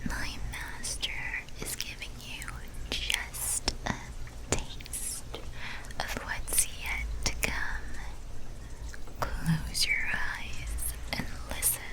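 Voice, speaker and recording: A woman playing a sly, seductive vampire mafia lieutenant in an ASMR audio drama, breathy and teasing. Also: drawn-out words, pushed and bright. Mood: seductive, hushed. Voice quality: breathy, often whispering.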